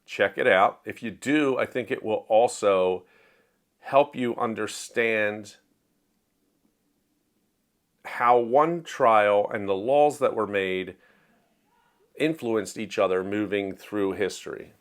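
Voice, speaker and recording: A man's voice: fairly low pitch, takes it slowly, long pauses. A man talking casually about books he read this month, in an enthusiastic, explanatory, conversational way. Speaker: book reviewer and writer. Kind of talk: casual monthly reading wrap-up.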